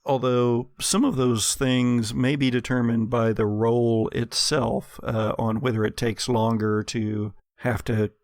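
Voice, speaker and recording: An American man runs a tabletop game at an unhurried pace, describing scenes and ruling on the action. His mid-pitched voice has a creaky edge, with deliberate pauses between phrases. It is recorded dry and close. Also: level tone, a little mellow, no room sound. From United States. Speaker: game master. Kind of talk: tabletop game narration and rulings for an actual-play podcast. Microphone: close studio microphone.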